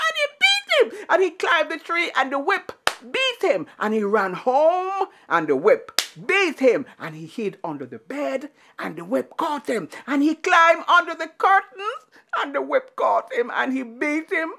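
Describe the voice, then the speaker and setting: A woman storyteller telling a tale to children in an animated, dramatic way, building through repetition and pauses. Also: high voice.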